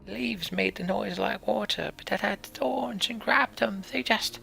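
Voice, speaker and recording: A frightened village man stammers through his words in a high, strained voice. A man acts him out, with a gravelly, bright edge that never settles. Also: unhurried, soft background music, heavily acted. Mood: panicked. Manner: panicked, stammering. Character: terrified male villager survivor.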